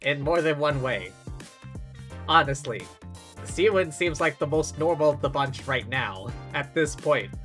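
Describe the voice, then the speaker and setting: A man's voice: fairly high pitch, a bit bright. A man live streaming a game, commenting casually and reading narration aloud in a wry, offhand way.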